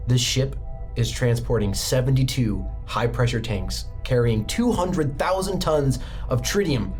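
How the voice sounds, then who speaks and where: A man's voice: medium pitch, expressive, a little slow, darkish tone. A man narrating a tabletop game as game master, describing scenes steadily with the odd filler. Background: music.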